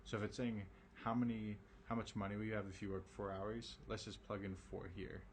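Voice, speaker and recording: A man teaching a recorded algebra lesson, his voice raspy and tired from a late hour, talking casually with lots of ums and okays. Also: on the low side, level tone, a little fast, a little hiss or hum. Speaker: math teacher.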